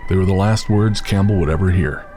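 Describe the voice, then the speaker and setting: Very deep male dramatic narrator. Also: a little fast, warm tone, soft background music. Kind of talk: dramatic scripted western horror narration.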